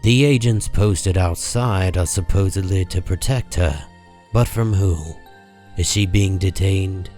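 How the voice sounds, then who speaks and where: A man narrating a comic book drama from a script in a grave, deliberate manner. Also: low voice, a little mellow, faint music behind.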